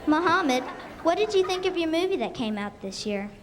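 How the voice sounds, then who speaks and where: A woman's voice: extremely high pitch, sing-song, fairly quick, a crowd in the background. A young female performer playing a TV reporter in a scripted school radio show, announcing in a presentational way. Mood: upbeat announcing. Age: child or young, elementary school performer. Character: bubbly female TV reporter touring the Kentucky Derby. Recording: noisy amateur live stage recording.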